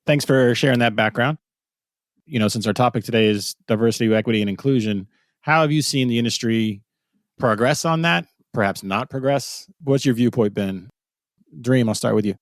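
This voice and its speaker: Male podcast host, mid-pitched, upbeat and conversational, dry close-miked sound. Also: fairly quick, no echo. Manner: upbeat, scripted intro then conversational questions. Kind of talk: podcast interview hosting.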